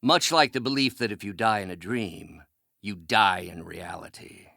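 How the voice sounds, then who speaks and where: A man's voice: fairly low pitch, lively intonation, normal speed, gravelly, bright, forceful tone, no echo, clean studio sound, exaggerated voice. An actor playing a brilliant older male scientist in a video game, articulate and professorial, a mentor with a bitter, menacing edge. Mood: coldly menacing. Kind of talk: dramatic video game voice acting.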